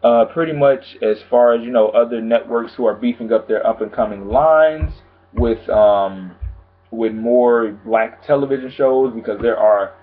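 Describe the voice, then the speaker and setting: A man vlogging about TV news in a casual, chatty way, calm and low-key, with lots of filler phrases. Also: measured pace. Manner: chatty, frequent "pretty much" and "you know what I'm saying". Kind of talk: casual chat to camera. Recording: tinny phone-like sound.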